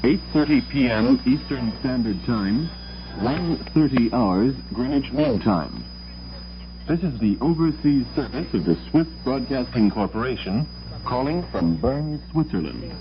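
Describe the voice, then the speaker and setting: A man giving a formal, measured station announcement in the style of 1960s shortwave radio. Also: mid-range voice, lively intonation, lo-fi sound, background noise.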